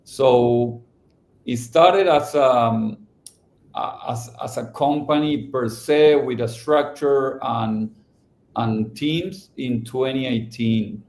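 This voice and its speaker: A middle-aged man from Argentina, answering podcast interview questions about his company, halting and restarting often. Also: medium pitch, darkish tone, reverberant. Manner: halting, with many restarts and fillers. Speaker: company founder and CEO.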